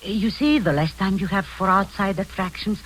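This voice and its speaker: An actress in her sixties playing a domineering, sweetly sinister old woman in a 1940s radio drama, speaking softly and insistently with low chuckles. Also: moderate pace, lightly acted, low-quality recording. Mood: sinister, coaxing. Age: 60s. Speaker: Aunt Rita, Miss Crable. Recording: old radio broadcast with faint hiss.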